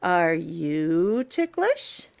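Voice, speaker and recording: Woman reading slowly and very animatedly to children. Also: fairly low pitch, sing-song, creaky. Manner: theatrical, playful, emphatic delivery with drawn-out words. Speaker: storyteller, teacher. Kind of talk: children's picture book read-aloud.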